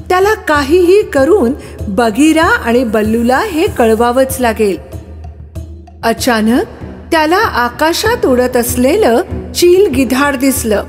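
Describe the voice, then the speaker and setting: Female children's story narrator: high, animated, leisurely delivery over clear background music.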